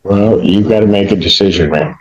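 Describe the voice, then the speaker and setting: A man's voice: on the low side, a little fast. A man speaking as a judge in court, putting procedural questions and rulings formally and insistently. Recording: dull, noisy courtroom microphone audio.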